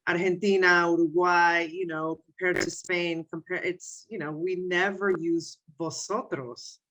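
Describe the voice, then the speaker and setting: A woman's voice: on the low side, steady tone, unhurried, fairly bright tone, low-quality recording. A woman guest in a casual chat who talks hesitantly with frequent restarts.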